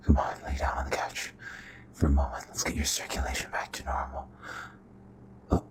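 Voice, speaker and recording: A man playing a charming, possessive royal vampire in an intimate ASMR roleplay, with a breathy, hushed, courtly and menacing delivery. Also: moderate pace, close to an everyday voice. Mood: apologetic, soothing. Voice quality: breathy whispering, very low voice.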